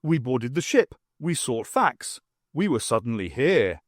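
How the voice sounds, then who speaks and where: A man's voice: medium pitch, sing-song, measured pace, dry recording. A man performs an emotionless, haughty alien creature for a science fiction audiobook. It speaks in stilted, formal declarations, literal to a fault. The sound is dry. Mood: bewildered, formal.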